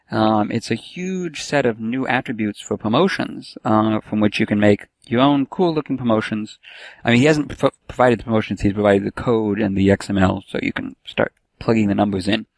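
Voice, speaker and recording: A man's voice: medium pitch, dry recording, low-quality recording. A man co-hosting a casual podcast, eager and teasing in chat, then walking through technical details with plenty of fillers. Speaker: podcast co-host, code reviewer.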